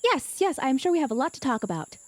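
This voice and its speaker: A woman playing a tabletop game in character, speaking in a probing, measured way. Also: sits high, sing-song, no echo. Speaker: player.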